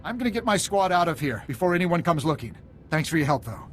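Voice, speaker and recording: Squad leader, high voice, military, emphatic, loyal, video game, background music. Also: male voice, very animated, quick pace. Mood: grateful, relieved. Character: embattled Republic squad leader, urgent, loyal and grateful. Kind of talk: cinematic video game voice acting. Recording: music behind the voice.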